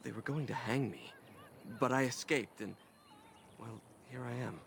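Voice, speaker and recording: An actor playing a humble, earnest young city elf in conversational video game dialogue. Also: male voice, mid-range voice, lively intonation, moderate pace, vocal fry, fairly bright tone, a little hiss or hum. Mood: rueful, sincere. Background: game music.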